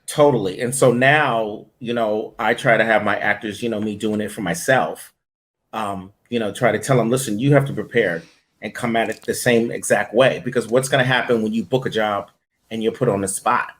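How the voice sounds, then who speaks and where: A man's voice: fairly low pitch, moderate pace. A middle-aged man, a voice-over coach, telling stories in a casual interview and acting out the directions he gives in the booth. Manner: animated storyteller who acts out booth directions.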